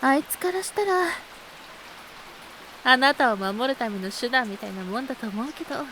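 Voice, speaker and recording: A woman's voice: sits high, lively intonation, normal speed, pushed and bright, slight background noise, full character voice. A woman voicing a teasing, seductive, spiteful adult woman in a Japanese audio drama, with a coy, taunting delivery. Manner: coy, taunting, breathy giggles between phrases.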